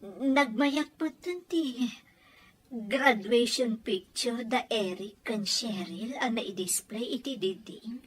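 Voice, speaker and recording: A woman's voice: fairly high pitch, takes it slowly, breathy edge. A woman acting an emotional, plaintive wife and mother in an audio drama, with a Filipino accent. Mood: subdued, regretful.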